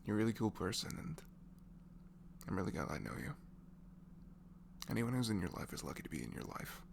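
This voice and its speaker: A man speaking softly and breathily in an improvised, comforting ASMR voice message, rambling affectionately and informally as if to a close friend. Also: low voice, big pitch swings, brisk, gravelly, a little hiss or hum. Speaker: amateur ASMR audio creator playing a supportive friend.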